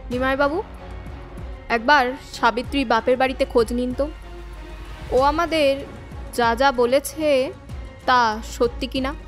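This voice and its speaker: A woman's voice: high-pitched, fairly even intonation, a little slow, long pauses, fairly bright tone, soft background music. A woman playing a firm police officer. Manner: firm, questioning, commanding. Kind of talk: Bengali audio drama acting.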